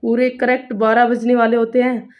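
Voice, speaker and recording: A woman with a fairly high voice tells a story straight to her viewers. Her delivery is informal and builds suspense, with phrases repeated as she goes. The sound is dull and lo-fi. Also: level tone, moderate pace. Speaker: storytelling coach and presenter. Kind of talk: spoken storytelling, explaining to viewers. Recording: dull lo-fi recording.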